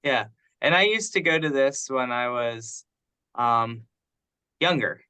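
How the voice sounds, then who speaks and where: A man's voice: medium pitch, animated, takes it slowly, pushed and bright, smooth. A man chatting casually as a participant on a conference call. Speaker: meeting participant.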